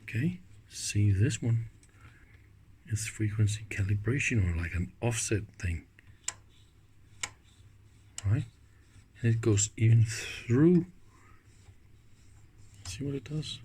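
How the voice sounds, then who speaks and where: Slow-paced man thinking aloud. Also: on the low side, expressive, warm tone. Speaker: host of an electronics teardown video. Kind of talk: casual hands-on commentary. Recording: clicks and faint background noise.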